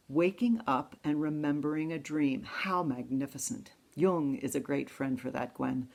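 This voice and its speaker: A woman with a low voice and a gravelly edge preaches a sermon. She reads poetry slowly and intimately, and her tone stays reflective throughout. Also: darkish tone. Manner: slow, reflective, intimate reading voice. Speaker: pastor. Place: church service, streamed online.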